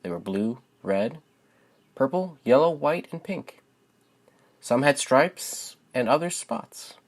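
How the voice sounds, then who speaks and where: A man's voice: medium pitch, sing-song, takes it slowly. A young man who hosts a channel and reads his own writing aloud for viewers. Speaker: channel host and children's book author. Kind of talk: casual spoken introduction to viewers.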